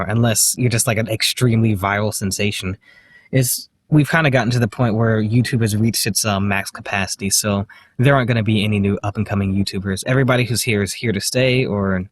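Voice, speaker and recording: A man with a slight lisp, talking to the camera in a halting, hesitant way, full of fillers and restarts. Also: lowish voice, slight background noise. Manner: quiet, self-deprecating. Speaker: vlogger. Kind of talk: casual self-recorded monologue.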